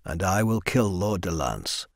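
A man voicing a loyal young male warrior who speaks quietly and solemnly. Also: deep voice, big pitch swings, measured pace, exaggerated voice.

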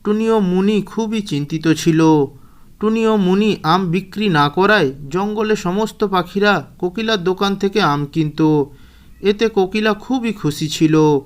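High-pitched male storybook narrator. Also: unhurried. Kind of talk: scripted children's bedtime story narration.

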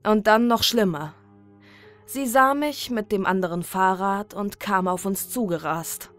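A woman narrating a scripted first-person horror story in a measured, dramatic manner. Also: mid-range voice, a bit bright, soft background music.

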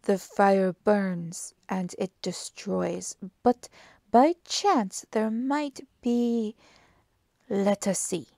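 Woman voicing a deliberate, methodical gentleman detective. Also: mid-range voice, vocal fry, no echo, studio quality. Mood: musing. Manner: deliberate, formal, exclamatory phrasing. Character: precise, methodical male detective, courteous and self-assured. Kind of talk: audiobook character dialogue.

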